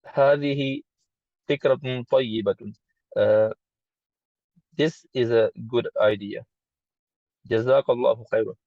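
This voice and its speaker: A man studying Arabic, reading aloud and translating in a halting, tentative way, often repeating words. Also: medium pitch, fairly even intonation, no room sound, narrow, tinny audio. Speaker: student of Arabic.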